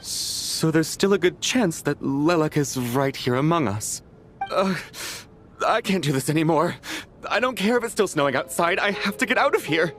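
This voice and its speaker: A man's voice: on the high side, animated, moderate pace, fairly bright tone. An actor playing an anxious, agitated man in a dramatic video game scene. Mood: anxious, fed up.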